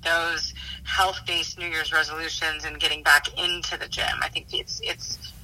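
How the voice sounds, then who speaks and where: A woman talks off the cuff in an interview as a business owner. Her delivery stays quite flat, with an extremely bright, piercing edge. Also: sits low, moderate pace, poor audio. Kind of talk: spontaneous interview answer.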